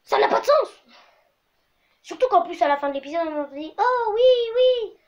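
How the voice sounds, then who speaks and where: A woman's voice: high-pitched, expressive, a little fast, dull audio. A woman hosting a comedy web show, ranting to camera in crude, slangy exaggeration. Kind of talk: comic rant to camera.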